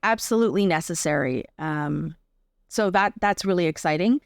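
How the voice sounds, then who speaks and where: A woman's voice: on the low side, moderate pace, creaky, no room sound, studio quality. A Canadian woman giving reflective, explanatory answers as a guest in a conversational interview. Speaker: interview guest, performer and advocate.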